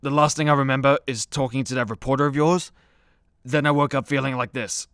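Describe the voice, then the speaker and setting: An actor playing a hungover, self-pitying mythic hero in a comedy radio play, weary and groaning. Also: male voice, medium pitch, steady tone, brisk, a bit bright, smooth, no echo, clean studio sound, close to an everyday voice. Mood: hungover misery.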